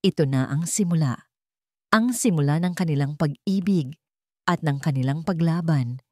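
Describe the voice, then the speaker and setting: A woman's voice: sits low, normal speed, mellow, dry recording. A woman storyteller giving a dramatic, scripted narration of a love story.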